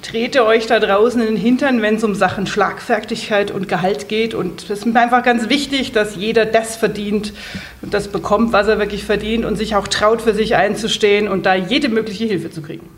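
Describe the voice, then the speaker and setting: A woman's voice: mid-range voice, steady tone, normal speed. A blunt, earthy woman with a Bavarian accent talks as an interview guest. She is a coach from Munich and laughs easily as she speaks. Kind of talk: casual interview talk.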